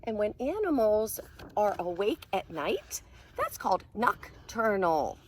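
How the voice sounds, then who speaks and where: A woman reading a picture book aloud to preschoolers as their teacher, expressive and sing-song, often hushed, pausing to explain words and ask questions. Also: medium pitch, slight background noise. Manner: animated, asks questions and explains words to children.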